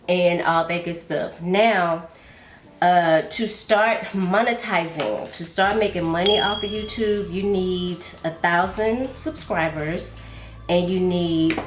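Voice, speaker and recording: A woman vlogger chatting and confiding as she does her makeup at home, calling viewers baby and girl, sounding a little congested and nasal. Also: fairly low pitch. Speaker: beauty and fragrance vlogger. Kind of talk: casual get-ready-with-me chat. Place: room at home, doing makeup. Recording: echoey room with faint music.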